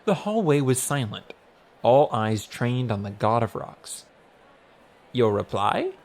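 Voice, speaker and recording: A man's voice: medium pitch, big pitch swings, a little slow, a little mellow. A man narrating a comic fantasy audiobook in a wry, scripted storytelling style.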